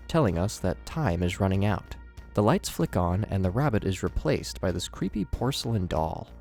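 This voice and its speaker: Guy doing horror video commentary, deep voice, wry showman delivery, soft music behind. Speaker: video host and narrator. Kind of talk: scripted horror commentary narration. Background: background music.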